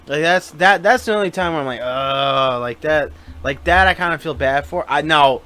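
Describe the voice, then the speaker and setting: A man's voice: on the high side, normal speed, sharp tone, smooth. A man co-hosting a podcast, chatting casually, hesitant and full of "like". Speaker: podcast co-host. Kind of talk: casual chat.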